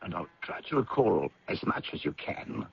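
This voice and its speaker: An actor playing a cool, probing police inspector in a radio mystery, raspy and formal in manner. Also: male voice, deep voice, big pitch swings, normal speed, a little hiss or hum, heavily acted. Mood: stern, suspicious. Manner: formal, clipped, official. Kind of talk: radio drama acting. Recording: dull, lo-fi vintage radio recording.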